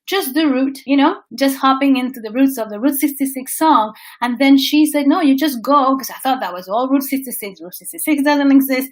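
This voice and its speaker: Lively Venezuelan-born woman telling a road story. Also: high voice. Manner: animated, restarts phrases, briefly sings place names. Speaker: vlogger and coach. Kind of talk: casual storytelling livestream.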